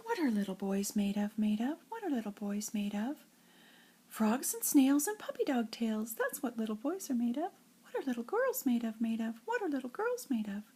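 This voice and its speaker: An older woman, a grandmother, reading nursery rhymes and children's poems aloud in an expressive, playful sing-song way. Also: on the high side, slightly rough.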